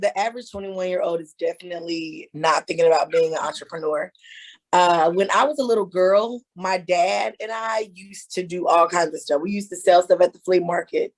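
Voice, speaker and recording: A woman's voice: lowish voice, moderate pace, rough recording. A woman in her thirties, a hairstylist and author, talking candidly as an interview guest. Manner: candid, storytelling, laughing. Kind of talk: casual interview.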